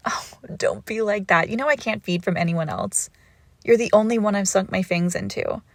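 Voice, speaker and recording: A woman's voice: medium pitch, moderate pace, gravelly, no echo, natural voice. Woman acting a teasing vampire girlfriend, crackly close voice. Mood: playful smug.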